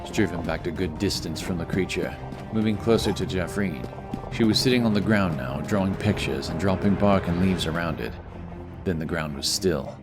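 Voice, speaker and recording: A man's voice: sits low, normal speed. A man reading scripted fantasy narration dramatically, with music playing behind him.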